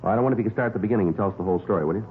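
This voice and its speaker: A man playing a terse, methodical police detective in a 1950s radio drama, clipped and deadpan as he questions and narrates. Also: fairly low pitch, rapid-fire, narrow, tinny audio, slight background noise, lightly acted. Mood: brisk, businesslike. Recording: thin 1950s radio recording.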